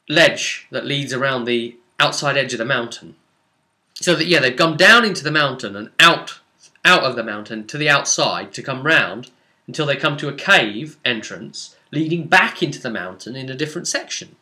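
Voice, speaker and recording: A man's voice: medium pitch, pushed and bright. A British man recounting a tabletop role-playing session as its game master, in an informal, dramatic way full of exclamations and asides. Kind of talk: animated informal storytelling to camera.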